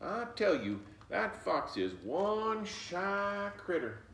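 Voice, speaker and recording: Man as a high-voiced, bossy old grandmother. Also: very animated, slow, a bit bright, a little hiss or hum, heavily acted. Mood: grumbling. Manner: folksy, emphatic, cautioning. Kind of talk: children's storybook read-aloud. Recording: roomy echo, distant mic.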